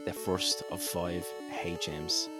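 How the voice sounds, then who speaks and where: A man's voice: low voice, little pitch movement, takes it slowly, over music. A man co-hosting a podcast, narrating a game playthrough in an informal, sweary, agreeable way. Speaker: podcast co-host running the game.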